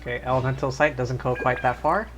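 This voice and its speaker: A man's voice: mid-range voice, fairly even intonation, fast talker, fairly bright tone. A man chatting casually as he gives live commentary on a video game stream. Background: game music.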